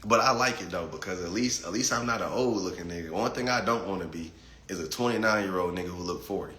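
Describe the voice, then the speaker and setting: A man's voice: deep voice, fast talker, pushed and bright, echoey room. A man in his late twenties talking bluntly and profanely on a casual livestream. He reads chat comments and often repeats words as he starts a thought. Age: 20s. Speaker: livestream host reading chat.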